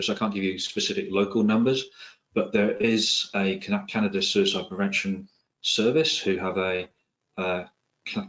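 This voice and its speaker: A middle-aged man from England, his accent softened by years in New Zealand, giving an online talk as a psychiatrist, with many ums, restarts and dry asides. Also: lowish voice, dull audio. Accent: English, with some New Zealand influence. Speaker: psychiatrist and presenter. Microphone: video call.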